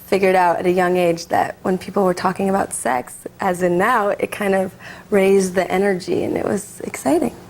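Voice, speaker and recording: A young woman in her twenties, a guest on a talk show, telling a story in a relaxed, giggly, playful way, recorded in a television studio. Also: mid-range voice, normal speed, creaky. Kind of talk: casual anecdote on a talk show. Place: television studio with live audience. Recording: broadcast with faint audience noise.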